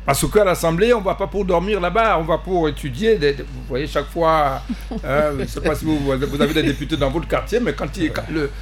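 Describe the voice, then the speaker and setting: High-voiced man, halting and emphatic. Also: a little hiss or hum. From Central African Republic. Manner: halting, repeats words, emphatic, chuckles. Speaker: politician guest, party president. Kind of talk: panel talk show debate.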